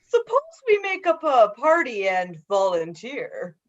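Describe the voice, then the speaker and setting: A woman's voice: on the high side, wildly swooping pitch, a little slow. A woman playing a callous, inquisitive business person in a radio play, with wry delivery and slightly muffled audio. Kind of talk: theatrical radio play acting.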